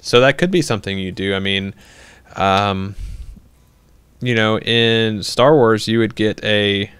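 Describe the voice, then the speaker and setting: A young man with a low, smooth voice chatting casually on a livestream. He rambles through viewer questions with plenty of ums and restarts and laughs easily. The sound is close and dry. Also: fairly even intonation, measured pace, a bit bright, no room sound. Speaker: livestream host.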